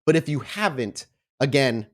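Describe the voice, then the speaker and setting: A man with an average-pitched voice speaks as a podcast host and game storyteller. His delivery is informal and conversational, like someone chatting off the cuff with friends at the table. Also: expressive, normal speed. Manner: informal, chatty, swears casually. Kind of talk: casual podcast intro, then improvised tabletop game narration.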